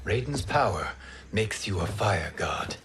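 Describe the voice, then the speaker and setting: Fujin, a wry wind god. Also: male voice, on the low side, big pitch swings, measured pace. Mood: calm. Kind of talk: video game cutscene acting. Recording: game cutscene with loud score.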